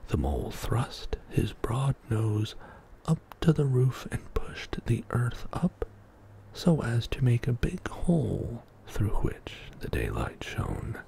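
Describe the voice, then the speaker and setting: Whispering man reading a slow bedtime story. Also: mid-range voice, wildly swooping pitch. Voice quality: soft, breathy whisper. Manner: slow, hushed, soothing narration. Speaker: ASMR storyteller. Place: small indoor home studio. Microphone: close studio microphone.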